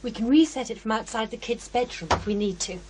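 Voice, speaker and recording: An actress playing a keen, capable recording technician, forthright and eager, in an audio horror drama. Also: female voice, a little high, a little fast, darkish tone, slight background noise, natural voice. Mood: keen, pleased.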